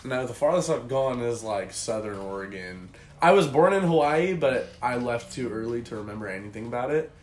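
A man's voice: mid-range voice, expressive, fairly quick, hardly any pauses. Young guy joking around w friends, laughs a lot, swears, echoey room w a bit of hiss. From Pacific Northwest of the US. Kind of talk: casual chat among friends.

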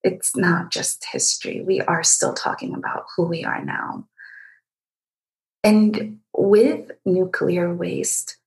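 A woman from Egypt conducting a literary interview, speaking reflectively and feeling her way through halting phrases. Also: lowish voice, wildly swooping pitch, poor audio.

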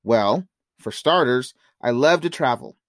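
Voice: medium pitch, expressive, measured pace, no room sound, pitched below the performer's own voice, close to an everyday voice. Narrator playing an easygoing young man, close dry sound. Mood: teasing, confident. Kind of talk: audiobook character dialogue.

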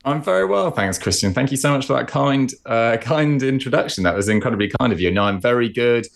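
A man's voice: mid-range voice, brisk, creaky. A man in his late twenties, born in Scotland but with an English accent, chatting casually and self-deprecatingly as a podcast guest over a remote call. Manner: relaxed, self-deprecating, chatty with many fillers. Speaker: podcast guest, football presenter. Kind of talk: casual interview chat.

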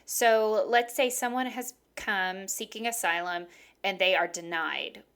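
A woman's voice: mid-range voice, a little slow, fairly bright tone, smooth. A woman interviewing casually, listening attentively and phrasing things in a tentative way.

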